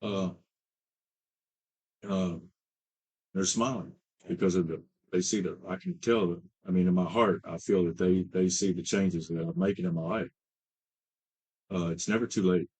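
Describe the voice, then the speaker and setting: A man in his late fifties with a very low, husky voice shares his recovery story as a treatment client. He talks haltingly, with many fillers and restarts. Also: fairly even intonation, darkish tone. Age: 50s. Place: treatment center.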